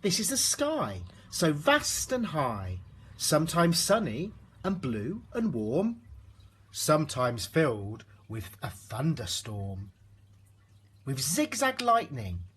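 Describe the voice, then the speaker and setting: قارئ قصص أطفال بنبرة شديدة التلوين وصوت مبحوح. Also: male voice, fairly high pitch, huge pitch swings, slow, fairly bright tone, husky. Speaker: storybook reader. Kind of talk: expressive rhyming children's story narration.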